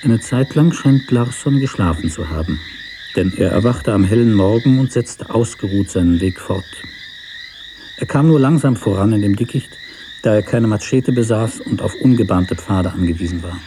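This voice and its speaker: A man reading a literary story as narrator in a measured, sober manner. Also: on the low side, mellow, slight background noise.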